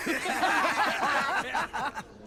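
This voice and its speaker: A suspicious country guard in a fantasy game. He drawls extremely slowly in a very high voice, with faint ambient noise behind. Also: animated, bright, forceful tone, a faint crowd, heavily acted. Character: suspicious, rustic male gate guard.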